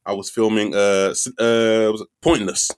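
A young comedian from London with a somewhat low voice tells stories in a loose, joking way. He fills his talk with ums and casual swearing. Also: level tone, normal speed, slight background noise. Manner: laid-back joking storyteller, swears, many fillers. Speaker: comedian, podcast co-host. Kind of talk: casual comedy podcast chat.

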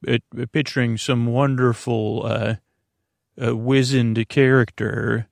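A man hosting a bedtime podcast in a rambling monologue, halting and interrupting himself, with sentences that wander and trail off, in a gravelly voice. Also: medium pitch, little pitch movement, dry recording, clean studio sound. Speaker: podcast host.

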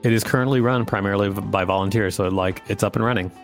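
A man's voice: on the low side, steady tone, fast talker, faint music behind. A man co-hosting a podcast, reading an article aloud with informal, joking banter and the odd stumble. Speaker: podcast co-host.

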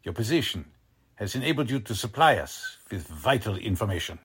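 Radio drama role: imperious spymaster, husky edge, highly animated pitch. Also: male voice, full character voice. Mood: smooth, formal. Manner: imperious, theatrical, deliberate. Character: haughty, scheming German military officer and Nazi spymaster. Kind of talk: 1940s radio drama acting.

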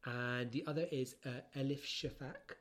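A man's voice: mid-range voice, fairly even intonation, moderate pace, fairly bright tone. A man with a British accent chatting casually as a podcast co-host, talkative and emphatic, with a teasing streak. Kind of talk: casual book chat.

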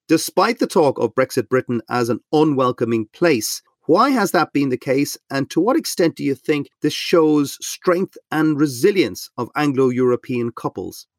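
A man's voice: mid-range voice, no echo, clean studio sound. A man hosting an interview, putting long, inquisitive questions to his guests. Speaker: host.

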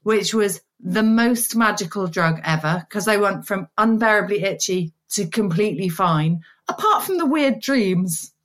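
A middle-aged woman, a podcast guest, telling stories in a lively, animated way. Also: mid-range voice, unhurried, hardly any pauses. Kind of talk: casual chat over video call.

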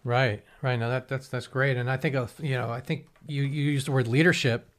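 A man's voice: medium pitch, steady tone, brisk. A man in his forties interviewing guests in a casual, rambling way, often restarting his sentences. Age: 40s.

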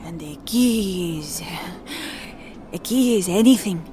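An actress playing a frightened, half-mad woman with a Spanish accent, halting and haunted, slipping Spanish words into her English. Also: fairly low pitch, lively intonation, mellow, slight background noise. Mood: cryptic, rambling. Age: middle-aged. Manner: halting, haunted, mixing Spanish into English, often dropping to a whisper. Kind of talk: audio drama acting.

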